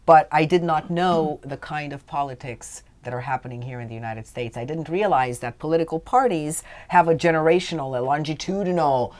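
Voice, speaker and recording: Low-voiced earnest woman guest. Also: fairly quick, hardly any pauses, darkish tone. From Romania, raised mostly in Canada. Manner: earnest, emphatic, occasionally wry. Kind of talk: telling her life story in a radio interview.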